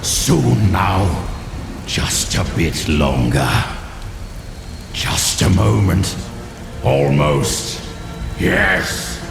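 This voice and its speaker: An actor playing an ancient, power-hungry male mage in a video game cutscene, with slow, grandiose villain delivery. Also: low voice, expressive, full character voice. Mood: ecstatic. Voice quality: gravelly, theatrical. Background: game music.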